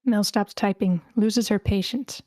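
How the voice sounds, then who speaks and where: A woman's voice: mid-range voice, fairly even intonation, a little slow, dark tone, dry recording, studio quality. A woman reading stage directions as narrator at a screenplay table read over an online call. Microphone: video call.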